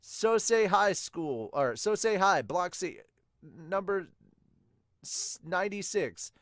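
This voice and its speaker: A man voicing an excited tournament announcer for a manga dub, calling out names in a projected, drawn-out way. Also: sits high, no room sound, studio quality, voice pushed up, heavily acted. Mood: announcing.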